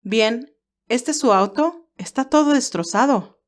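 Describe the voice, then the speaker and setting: A woman's voice: fairly high pitch, expressive, measured pace, voice pushed up. A woman voicing a friendly, plain-spoken male farmer who drives a cart in an audio story. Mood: amused, frank. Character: obliging male farmer driving a milk cart.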